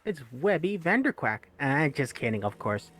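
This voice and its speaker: A man's voice: fairly high pitch, sing-song, moderate pace, fairly bright tone. A man giving casual commentary as he watches a cartoon. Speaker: co-host of a cartoon riffing show.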